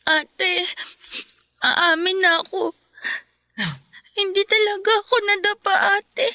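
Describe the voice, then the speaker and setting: A woman's voice: very high-pitched, fairly even intonation, takes it slowly, sharp tone, dry recording, exaggerated voice. A woman playing a timid, whiny little sister in a radio drama, hesitant and pleading. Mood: tearful, hesitant. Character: timid little girl, tearful younger sister.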